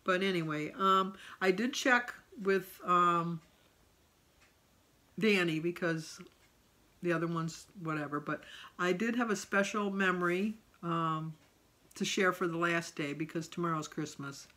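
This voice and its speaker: A woman's voice: fairly low pitch, a little slow, sharp tone. A middle-aged woman talking casually and candidly in a personal vlog, rambling from one thought to the next. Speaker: vlogger.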